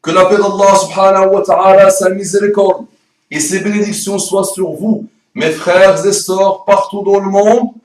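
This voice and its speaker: Man with an Arabic accent, not a native French speaker, rolled R's, playful and emphatic teacher's pitch; high voice, echoey room, set back from the mic. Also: steady tone, moderate pace, recorded from a distance. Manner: playful, emphatic, rhetorical questions. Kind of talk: promotional course pitch.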